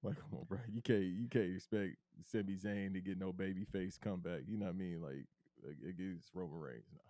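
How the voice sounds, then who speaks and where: A man with a very low, bass-heavy voice, talking wrestling on a podcast. He speaks in an animated, emphatic, slangy way. The sound is close and dry, with no room around it. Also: brisk, no echo. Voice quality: deep bass voice. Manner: animated, emphatic, slangy, calls his cohost bro. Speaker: podcast co-host. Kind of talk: casual wrestling chat.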